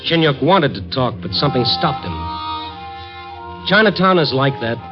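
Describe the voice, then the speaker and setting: An actor playing a streetwise, persistent reporter in an old radio noir drama, with a wry, clipped delivery. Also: male voice, mid-range voice, lively intonation, normal speed, close to an everyday voice. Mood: tense, brooding. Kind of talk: 1940s-50s radio noir acting and first-person narration. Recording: muffled old radio recording, music behind.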